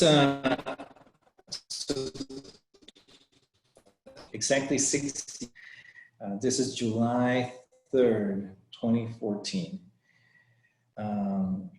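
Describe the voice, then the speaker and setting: A man's voice: mid-range voice, darkish tone. A man from Rica, giving an informal webinar talk in a friendly, conversational way and often restarting his phrases. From Costa Rica. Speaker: guest presenter. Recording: echoey room.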